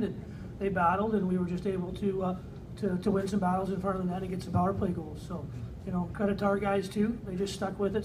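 Fast, high-pitched man coaching, distant recording. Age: middle-aged. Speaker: assistant ice hockey coach. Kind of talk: post-game press conference remarks. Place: indoor press conference room. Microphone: far camera. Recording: distant camera mic, noisy room.

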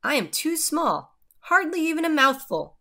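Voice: high voice, sing-song, moderate pace, vocal fry, sharp tone, pitched above the performer's own voice, heavily acted. A narrator voicing a small, brave, clever young owl knight from a storybook, earnest and pleading. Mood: pleading, persuasive. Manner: earnest, pleading, hooting calls.

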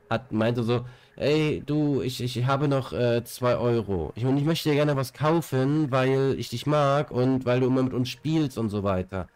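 Man with a stuffy cold, low voice. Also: darkish tone, no echo. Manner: rambling, with fillers and repeated words. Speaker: gaming streamer. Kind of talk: casual chat while playing. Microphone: headset.

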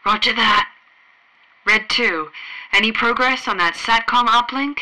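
A woman's voice: a little high, a little slow, pushed and bright, low-quality recording. A woman voicing a tense, clipped male super-soldier squad leader speaking over the radio. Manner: terse military radio talk.

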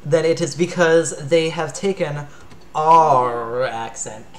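A young woman with a British Columbian accent, co-hosting a live game stream, chatting in an excitable, lively way. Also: low voice, slow. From Canada. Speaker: let's play co-host. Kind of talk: casual gameplay chat. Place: small room at home.

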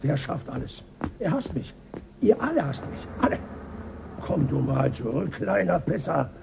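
An actor playing Burr, a crude and paranoid male crewman on a spaceship, in a German radio play, with a gravelly, sneering voice and a mocking, suspicious way of talking. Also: fairly high pitch, sing-song, normal speed, noisy background, exaggerated voice. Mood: paranoid, defiant.

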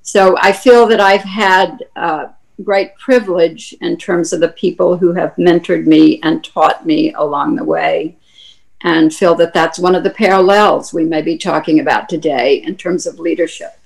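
An older woman from Tennessee speaks softly and reflectively in a lowish voice. She sits close to a laptop webcam at home, telling her story with earnest care. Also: fairly even intonation, unhurried, creaky, low-quality recording. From Tennessee, USA. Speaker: panelist, activist. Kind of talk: video call panel introduction. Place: home room.

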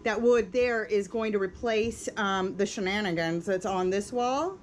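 A woman's voice: mid-range voice, a little slow, slight background noise. A woman in her thirties giving a casual, upbeat how-to demonstration while working inside a small RV, with plenty of fillers as she goes. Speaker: RV renovation vlogger. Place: inside a small RV under renovation. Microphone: camera mic, moving about while working.

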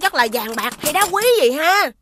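A curious, excitable little cartoon girl with a high, bright voice. Soft music plays beneath her. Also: normal speed, no room sound, clean studio sound. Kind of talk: cartoon voice acting.

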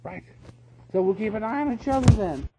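A man voicing a pair of quarrelling weasel guards in a storybook reading, in a shrill, yelping manner. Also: animated, a little fast, creaky. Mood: bickering. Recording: dull lo-fi recording with faint hum.

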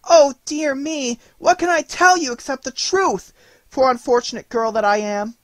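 A reader voicing Marie, an earnest, excitable young girl who pleads to be believed. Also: mid-range voice, moderate pace, dry recording, pitched above the performer's own voice, natural voice. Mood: pleading. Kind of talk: audiobook character reading.

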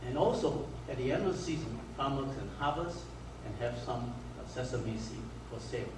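A man from Malaysia giving a conference lecture over slides, speaking haltingly with many restarts and fillers. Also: medium pitch, background noise. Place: lecture hall. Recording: echoey hall recorded from far off.

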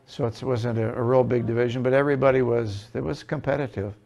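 A man's voice: mid-range voice, steady tone, fast, creaky, darkish tone. An older American man answering interview questions casually, in a plain-spoken way that circles back on itself. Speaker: billiards event organizer being interviewed. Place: seated indoors in a pool hall.

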